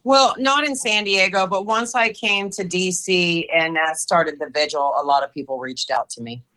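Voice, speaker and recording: A woman's voice: lowish voice, moderate pace, pushed and bright, poor audio. A woman in her fifties answering interview questions, thoughtful and hesitant with many fillers. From United States. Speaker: interview guest.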